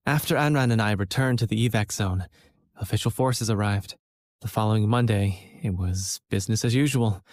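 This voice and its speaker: An actor playing an earnest, self-doubting young college student who narrates his own story in a scripted animated game film. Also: on the low side, normal speed, dry recording, close to an everyday voice. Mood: reflective, downcast. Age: young adult. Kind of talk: scripted first-person narration.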